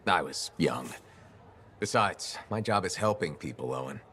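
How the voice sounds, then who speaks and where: An actor playing a confident young freelance pilot in a video game, casual and straight-talking with a dry wit. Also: male voice, low-pitched, animated, unhurried, fairly bright tone, a little hiss or hum. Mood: reflective, dry. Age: young adult. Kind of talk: scripted video game dialogue.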